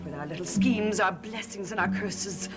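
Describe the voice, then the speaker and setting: A woman's voice: mid-range voice, moderate pace, loud music behind the voice, lightly acted. A woman playing a bitter, scornful witch in a gothic soap opera, speaking theatrically. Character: scornful, bitter witch who once headed the coven.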